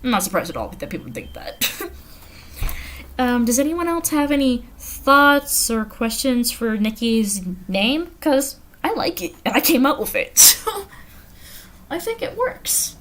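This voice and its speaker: A woman's voice: a little high, very animated, unhurried, fairly bright tone. Chatty American woman, noisy livestream audio. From the Carolinas, United States. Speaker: livestream host. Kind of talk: casual livestream chat, reading viewer comments aloud.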